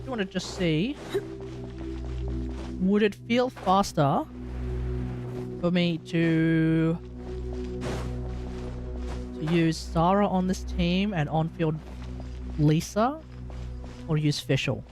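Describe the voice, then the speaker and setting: A woman's voice: lowish voice, very slow, pauses often. Woman streamer thinking aloud over music. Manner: rambling, thinking aloud to herself. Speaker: live streamer. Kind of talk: casual gameplay livestream chat.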